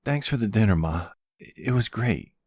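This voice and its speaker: A man's voice: on the low side, animated, normal speed, a little mellow, no echo, studio quality, pitched above the performer's own voice. A man voicing an earnest, casual young man, the son in a roleplay audio drama. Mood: casual, concerned. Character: earnest young man, mob boss's partner's troubled son.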